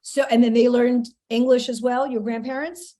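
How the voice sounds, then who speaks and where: A woman's voice: fairly high pitch, level tone, normal speed, mellow. A woman hosting an interview, asking long questions full of fillers and asides. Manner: rambling questions, frequent fillers. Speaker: interview host. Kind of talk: casual interview chat.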